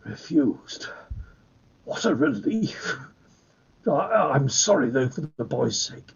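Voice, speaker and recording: A man acts an older Victorian gentleman paying stiff, courteous suit. His voice is husky and creaky, rather high, with formal phrasing on a slightly dull recording. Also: very animated, slow, full character voice. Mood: rejected, formal. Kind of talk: Victorian farce radio acting.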